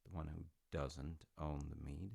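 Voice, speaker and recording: Easygoing storyteller dad male voice actor, audio roleplay: unhurried, creaky, wry and musing. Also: rumbling low, level tone, a little mellow, exaggerated voice. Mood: wryly amused. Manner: wry, understated, musing with dry humour. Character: easygoing, artsy dad telling Norse myths to his grown child. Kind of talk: casual, intimate storytelling roleplay.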